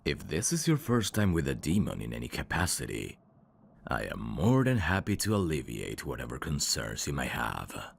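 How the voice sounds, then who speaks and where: Man voicing a very deep, gravelly suave demon. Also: wildly swooping pitch, normal speed, slight background noise, exaggerated voice. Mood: seductive, courteous. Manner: smooth-talking, flirtatious, formal phrasing with hesitations. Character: suave, teasing adult male demon, courteous and curious. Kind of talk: intimate ASMR roleplay acting addressed to the listener.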